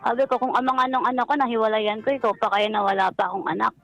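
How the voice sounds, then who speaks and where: A woman's voice: on the high side, fairly even intonation, very fast, fairly bright tone. A woman calling in to a radio advice show, telling her personal story in a polite, deferential way. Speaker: caller seeking relationship advice. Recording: music playing behind the voice. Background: background music.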